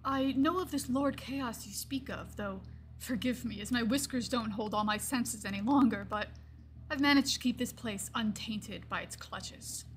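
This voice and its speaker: A woman voicing a gentle old gray-furred lion-folk druid in a fantasy game monologue, wistful and reflective, with pauses and hushed trailing phrases. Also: a little high, slight background noise, close to an everyday voice. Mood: solemn, grateful.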